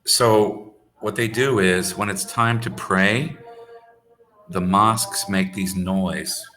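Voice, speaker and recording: An American man tutoring English over a video call, speaking simply and clearly for a learner. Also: fairly low pitch, fairly even intonation, bright, forceful tone, faint music behind. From United States. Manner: slow, simple phrasing for a learner. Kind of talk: casual conversation lesson. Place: home in Saudi Arabia.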